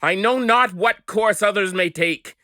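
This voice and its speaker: A man doing a dramatic, oratorical reading in a deliberate, declamatory and emphatic manner. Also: sits high, sharp tone, dry recording, clean studio sound. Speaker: amateur dramatic reader.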